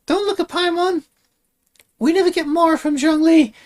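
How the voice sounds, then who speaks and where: A man voicing a tiny, childlike female fairy companion, excitable and cheeky, with a squealing delivery, in a comic game parody. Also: very high voice, normal speed, voice pushed up. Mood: pleading.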